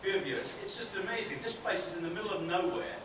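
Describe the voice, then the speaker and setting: A middle-aged British man with a high, bright-pitched voice gives a chatty lecture to students. He sounds far from the mic in a big theatre, with heavy echo and a steady hiss behind him. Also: fast talker. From Britain. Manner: chatty, asks the audience questions, self-deprecating asides. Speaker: university professor giving a guest lecture. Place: large theatre hall with an audience. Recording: very echoey hall, far-off and noisy.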